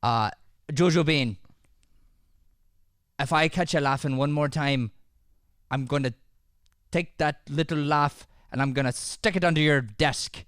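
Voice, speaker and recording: Man playing a pompous Irish schoolteacher, high clear voice. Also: unhurried, pauses often, a bit bright, dry recording, studio quality. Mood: stern. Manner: scolding, pompous, emphatic. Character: stern, pompous but forgiving male Irish schoolteacher. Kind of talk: improvised old-time radio comedy.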